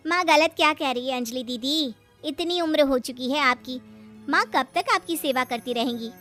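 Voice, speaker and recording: A woman's voice: high-pitched, normal speed, bright, forceful tone, soft background music. A woman playing a taunting, meddling young sister-in-law with a needling, pointed manner in a cartoon story. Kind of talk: Hindi cartoon story acting.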